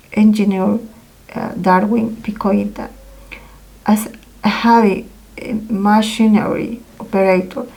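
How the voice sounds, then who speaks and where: A woman reading a translated first-person testimony aloud as a voice-over, in a careful, halting way with pauses. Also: mid-range voice, gravelly, warm tone, low-quality recording.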